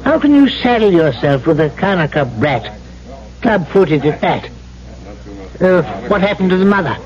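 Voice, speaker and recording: An actor playing a suave, sly, cynical gentleman trader in an old-time radio drama, speaking in a glib, florid, over-polite way. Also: high-pitched, big pitch swings, normal speed. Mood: cynical, pushy. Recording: dull old radio recording.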